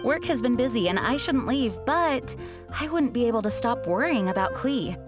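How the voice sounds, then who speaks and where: A woman's voice: a little high, animated, moderate pace, lightly acted. A woman playing a serious, dutiful young knight commander in an anime video game, formal and earnest. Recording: music clearly behind the voice.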